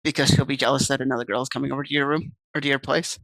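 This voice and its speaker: A woman's voice: rumbling low, steady tone, rapid-fire, fairly bright tone, no echo. Woman streamer reading a game aloud. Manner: chatty, reads game text aloud and answers chat. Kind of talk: casual stream, reading a visual novel aloud.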